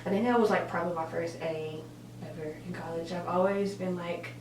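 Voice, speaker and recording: A woman's voice: lowish voice, fairly even intonation, a little fast. Woman in her twenties, casual vlog chat, rambling, filler-heavy, echoey room, faint hum. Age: 20s. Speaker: college student vlogger. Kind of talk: casual get-ready-with-me chat. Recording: roomy echo, distant mic, faint hum.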